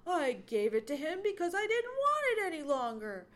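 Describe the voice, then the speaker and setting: A woman's voice: high voice, huge pitch swings, moderate pace, fairly bright tone, pitched above the performer's own voice, full character voice. Woman as a proud, emphatic young woodchuck. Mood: proud, decided. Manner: emphatic, scornful, self-assured. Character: young male woodchuck, proud, carefree, stubborn wanderer. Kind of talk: children's animal storybook character voice.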